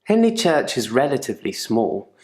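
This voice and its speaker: A man's voice: medium pitch, sing-song, moderate pace, lightly acted. A man playing a troubled gravedigger in therapy for a video game, speaking in a guarded, dryly sardonic, articulate way. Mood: matter-of-fact, musing. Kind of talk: naturalistic acted monologue in therapy session. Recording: echoey room.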